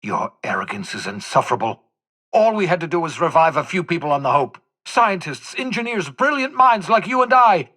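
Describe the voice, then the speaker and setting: Raspy, theatrical older scientist. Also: male voice, a little high, big pitch swings, fairly quick, pushed and bright, exaggerated voice. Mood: anguished, frustrated. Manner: theatrical, emphatic, articulate phrasing. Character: eccentric older fugitive scientist, obsessive, theatrical, bitterly self-reproaching. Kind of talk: dramatic video game dialogue.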